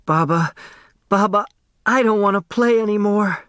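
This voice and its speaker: A man's voice: sits high, moderate pace, a bit bright, clean tone, no echo, clean studio sound, voice pushed up. A man voicing a distraught, tender young girl in an audiobook, pleading and emotional. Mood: tearful pleading.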